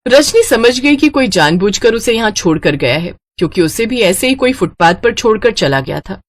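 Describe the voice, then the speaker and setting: A woman's voice: a little high, very animated, normal speed. A woman narrating a scripted cartoon moral story as its storyteller.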